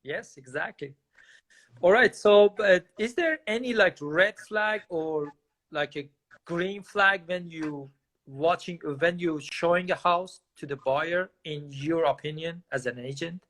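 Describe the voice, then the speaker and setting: A male interview host whose first language is Farsi, asking questions in slightly broken English. His voice sits a little high, and he speaks slowly and deliberately. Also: a bit bright, rough recording. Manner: non-native grammar, guiding questions.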